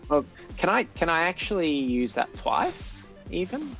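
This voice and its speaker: A man's voice: on the high side, sing-song, a little slow, gravelly, a bit bright, faint music behind. Hesitant man at the game table. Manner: hesitant, lots of fillers. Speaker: player. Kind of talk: casual tabletop game chat.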